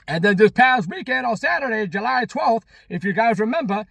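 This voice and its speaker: A man's voice: high-pitched, fairly bright tone, no room sound. A man in his thirties with a Long Island accent, rambling casually to his viewers in a chatty, emphatic way and often repeating himself. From Long Island, New York. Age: 30s. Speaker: vlogger.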